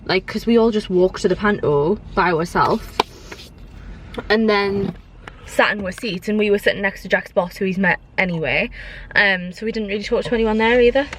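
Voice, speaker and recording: A woman's voice: medium pitch, moderate pace, fairly bright tone, a little hiss or hum. A woman vlogging in a casual, opinionated way, repeating points for emphasis. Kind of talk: casual vlog chat. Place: inside a car.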